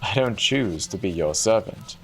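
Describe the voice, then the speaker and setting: A man's voice: lowish voice, lively intonation, unhurried, low-quality recording. Man acting a haughty, defiant young waiting maid. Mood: haughty, scornful. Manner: curt, refusing, commanding.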